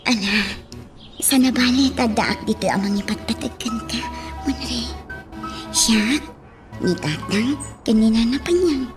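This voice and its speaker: A woman playing a gentle, reassuring sweetheart in an Ilocano radio drama. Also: on the high side, lively intonation, measured pace, long pauses, over music.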